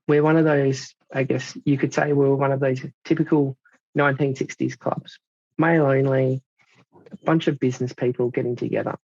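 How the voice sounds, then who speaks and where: An Australian man in his thirties giving a club presentation, halting with many fillers. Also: medium pitch, darkish tone, dry recording. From South Australia. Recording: dull dry lo-fi sound.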